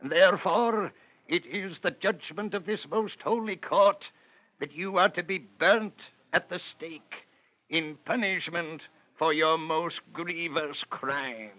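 Slow, stern Inquisition judge. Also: male voice, on the high side, sharp tone. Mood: stern, solemn. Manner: formal, declamatory. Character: stern, solemn Inquisition judge pronouncing sentence. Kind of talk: 1940s radio acting. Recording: dull old radio broadcast.

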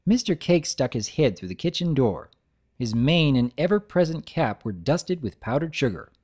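A storyteller reads fan fiction dramatically. The narration moves over a lively pitch range. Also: moderate pace, husky.

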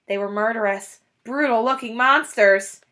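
A woman in her thirties reading a children's book aloud in an animated, dramatic way. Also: a little high, normal speed. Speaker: children's story reader. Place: small indoor room, classroom-like decor.

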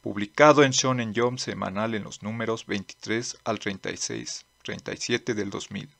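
Bright-voiced man narrating a manga. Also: lowish voice, fairly even intonation, normal speed, gravelly, no echo.

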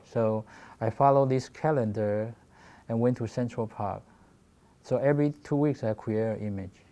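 Taiwanese guy in his 40s presenting his work, low gravelly mellow voice, lots of uhs. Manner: halting, many fillers and restarts. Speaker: guest photographer. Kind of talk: presentation talk.

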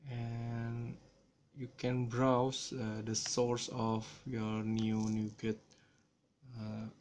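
A man from Indonesia with an Indonesian accent, talking through a software tutorial in a slow, hesitant way with many fillers, recorded on a smartphone. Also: mid-range voice, monotone, slight background noise. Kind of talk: screen-recorded coding tutorial. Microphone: smartphone camera filming screen.

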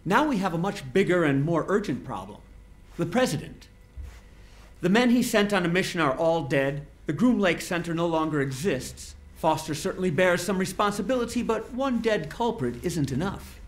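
Icy bureaucratic official, lightly high voice, lively intonation, formal, detached, menacing game cutscene delivery. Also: male voice, moderate pace. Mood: cold, formal. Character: cold composed male government official, coolly bureaucratic and menacing. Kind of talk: dramatic video game cutscene acting.

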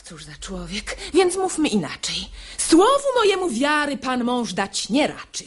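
Lively, husky, shrewd wife. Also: female voice, sits high, measured pace, heavily acted. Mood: exasperated. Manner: theatrical, articulate, ironic. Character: poised, shrewd, exasperated wife of the master. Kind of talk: classic verse comedy radio play.

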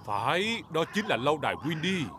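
A man's voice: fairly high pitch, big pitch swings, a little fast, very noisy. A man voicing a proud, stubborn but jolly father pig in a Vietnamese cartoon dub. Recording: music behind the voice.

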